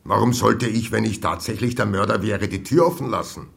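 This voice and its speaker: An imperious old man in a radio play. His low voice creaks as he argues, indignant and haughty. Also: brisk, fairly bright tone. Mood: defensive, haughty. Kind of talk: radio drama acting.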